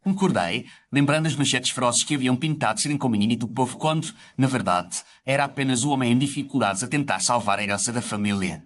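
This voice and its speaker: An actor playing a reflective gentlemanly doctor who narrates his memoirs in formal, literary first-person storytelling. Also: medium pitch, quick pace, hardly any pauses, close to an everyday voice.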